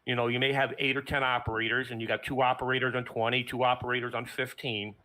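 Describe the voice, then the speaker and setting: Bright, forceful-voiced man talking shop. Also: mid-range voice, level tone, fast talker, rough recording. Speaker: remote ham radio station operator. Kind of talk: casual technical discussion.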